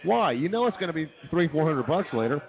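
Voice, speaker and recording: A man's voice: fairly high pitch, sing-song, brisk, creaky. A man hosting live comedy talk radio in a brash, informal way, with tinny sound and a busy crowd behind him. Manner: brash, informal, mildly profane. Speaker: radio show host. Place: live broadcast from a busy brewery. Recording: phone-like sound, loud bar crowd behind.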